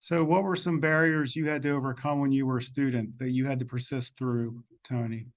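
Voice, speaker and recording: A man hosting an interview, asking conversational questions in a measured way, pausing between phrases. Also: mid-range voice, steady tone. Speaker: interviewer, host.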